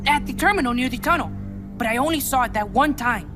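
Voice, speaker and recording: A woman's voice: sits high, animated, quick pace. A woman playing a twelve-year-old boy guerrilla in a game cutscene, earnest and defiant, with a Latin American Spanish accent. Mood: earnest, uneasy. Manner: emotional, youthful, impassioned delivery. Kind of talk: dramatic video game cutscene acting. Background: music.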